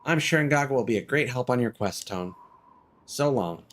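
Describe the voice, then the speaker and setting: A man's voice: mid-range voice, animated, moderate pace, close to an everyday voice. A man voicing a chirpy, chatty, apologetic moon villager while reading fantasy game dialogue aloud. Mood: gentle, reassuring.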